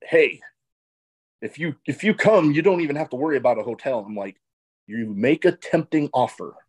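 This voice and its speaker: A man's voice: medium pitch, lively intonation, normal speed, darkish tone, breathy edge. Laid-back man hosting a podcast. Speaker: podcast host. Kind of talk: casual interview chat.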